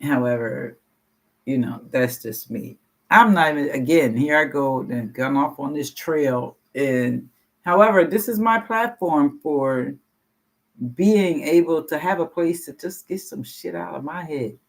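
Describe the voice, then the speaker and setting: A woman in her sixties with a deep, low voice, giving a rambling, frank personal share at a support meeting and swearing casually. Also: a little slow. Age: 60s. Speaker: meeting participant sharing.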